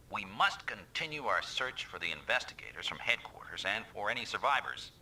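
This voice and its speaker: An actor playing a gruff mech squad leader in a video game, giving clipped, authoritative military orders. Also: male voice, on the low side, sharp tone, far from the mic, exaggerated voice, poor audio.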